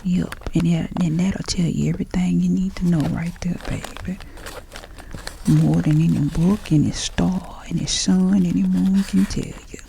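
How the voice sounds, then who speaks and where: A woman with a Southern US accent doing chatty ASMR, speaking softly and half-whispering like a folksy auntie giving advice. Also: fairly low pitch, steady tone, unhurried, gravelly, a little hiss or hum, poor audio. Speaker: ASMR creator packing orders.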